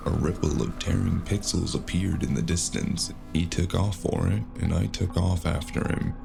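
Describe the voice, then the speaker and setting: Very gravelly, deep-voiced man narrating. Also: fairly even intonation, normal speed. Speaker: narrator of a cyberpunk audio drama. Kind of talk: scripted first-person story narration. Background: loud music.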